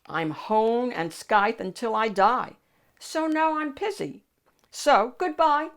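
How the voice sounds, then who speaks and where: A woman's voice: on the high side, animated, slow pace, voice pushed up. A simple, blithe peasant man from a picture book, acted by a woman. He mumbles along cheerfully in a sing-song way, taking his time. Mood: blithe, timid. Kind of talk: children's picture book read-aloud.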